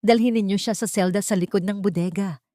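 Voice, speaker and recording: A woman's voice: mid-range voice, fairly even intonation, a little fast, warm tone, dry recording, lightly acted. An elderly, haughty matriarch, acted by a female storyteller, gives orders. She is cold, imperious and full of disdain. The audiobook recording is dry and close.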